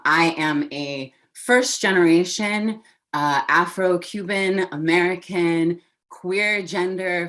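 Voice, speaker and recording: A woman from Oakland, California, a creative director and activist, introducing herself on a video call panel in a deliberate, reflective way. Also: sits low, pushed and bright.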